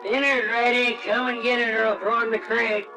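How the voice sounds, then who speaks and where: A man's voice: very high voice, flat delivery, fairly quick, over music, rough recording. A man playing a folksy, kindly family friend in an old-time radio drama, homespun and plain-spoken. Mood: jovial, folksy.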